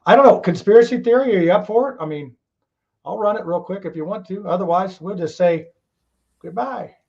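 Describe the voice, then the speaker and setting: A man's voice: sits high, fairly quick, vocal fry, a little mellow, lo-fi sound. A man hosting a casual talk show, rambling along in a folksy way with frequent chuckles.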